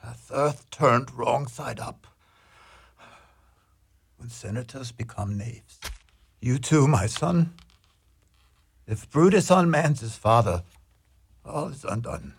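A proud Roman ruler in a Shakespearean radio play, acted by a man. The voice is husky and mid-pitched, and the delivery is formal and declamatory. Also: sing-song, slow, pauses often, heavily acted. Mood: wounded, grieving. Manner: declamatory, formal archaic phrasing. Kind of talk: amateur Shakespearean radio drama acting.

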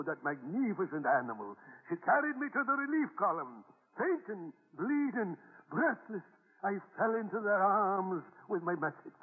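A man's voice: high-pitched, slightly rough, full character voice. An actor playing a boastful, tender old grandfather who tells tall tales with theatrical relish, in 1940s radio acting style. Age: older. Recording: tinny old radio broadcast with reverb.

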